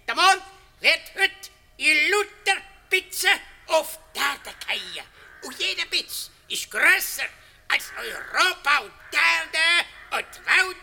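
A man's voice: extremely high pitch, big pitch swings, takes it slowly, vocal fry, sharp tone, slight background noise, heavily acted. A man playing a gleeful old villager prophesying doom in a frenzied, ominous way in a radio play. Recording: long reverb, music behind.

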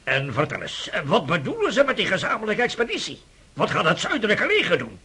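An actor playing a gruff, mocking old prince and father in a radio drama, brusque and scoffing, with a husky, gravelly voice. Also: high voice, huge pitch swings, pushed and bright, heavily acted. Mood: brusque, impatient. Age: older. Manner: brusque, scoffing, rapid-fire questioning.

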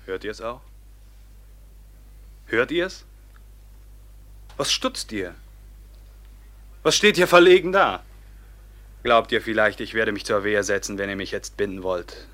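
Forceful, defiant robber captain. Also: male voice, mid-range voice. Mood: fierce, rousing. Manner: declamatory, mocking, commanding. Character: proud, defiant robber captain, commanding his band. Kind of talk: classical stage-style radio drama acting.

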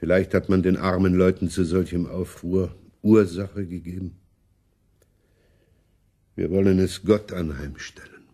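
An actor in a German radio play playing a weary, pious old prince who speaks in a resigned, halting, devout way. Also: male voice, low voice, fairly even intonation, warm tone, breathy edge, heavily acted.

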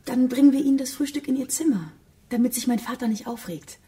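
An actress in a radio drama playing a young, innocent and caring daughter in love. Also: female voice, sits high, fast talker, music in the background, lightly acted. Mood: eager care.

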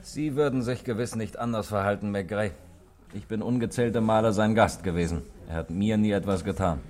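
An actor playing an urbane, evasive bank employee and café regular in a radio crime drama, with a nonchalant, philosophical manner. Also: male voice, on the low side, fairly quick, a little mellow, natural voice.

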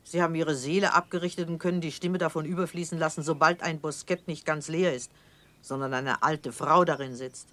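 Low-voiced, imperious old noblewoman, played by an actress. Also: fairly even intonation, a little fast, close to an everyday voice. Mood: scornful. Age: older. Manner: haughty, grave, theatrical. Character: old aristocratic lady, imperious, severe and devout. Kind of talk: classic German radio drama acting.

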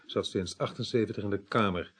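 A businesslike male detective in a Dutch radio play. His voice sits on the low side. He reports in a matter-of-fact, colloquial way, with small hesitations. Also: brisk, husky. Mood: methodical, hesitant. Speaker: police investigator. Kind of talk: Dutch radio drama acting.

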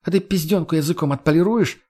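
A man's voice: fairly high pitch, fast talker, dark tone, voice pushed up, lightly acted. A man voicing a brash, sarcastic, foul-mouthed young woman in an audiobook, slangy and argumentative. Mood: mocking. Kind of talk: audiobook character voice.

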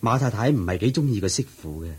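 A man's voice: lowish voice, lively intonation, fast, breathy edge. An actor playing a devoted male disciple in a radio drama, speaking earnestly and respectfully. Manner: earnest, respectful, explaining at length. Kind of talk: 1980s-style radio drama acting.

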